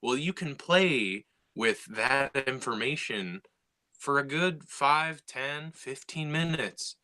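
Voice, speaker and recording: A man's voice: medium pitch, expressive, slow pace, sharp tone. A man co-hosting a casual podcast, explaining his ideas and building his points step by step. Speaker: podcast co-host and writer.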